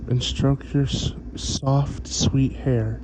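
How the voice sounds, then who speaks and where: A man playing a possessive, hungry vampire in soft, breathy ASMR roleplay, doting on his captive human with lingering, savoring pauses. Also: steady tone, noisy background. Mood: sated, tender. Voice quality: soft, breathy low-volume voice.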